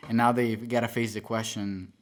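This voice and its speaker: A man's voice: lowish voice, level tone, moderate pace. A young man from El Salvador, a podcast guest, telling his story earnestly with frequent fillers and self-corrections. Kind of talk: casual interview storytelling.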